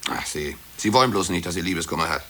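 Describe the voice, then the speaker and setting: Deep, teasing male office colleague. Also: fast talker, sharp tone. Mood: wry, mocking. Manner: laconic, teasing, lots of knowing hums. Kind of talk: 1950s German radio drama acting. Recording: crackly old 1950s radio tape.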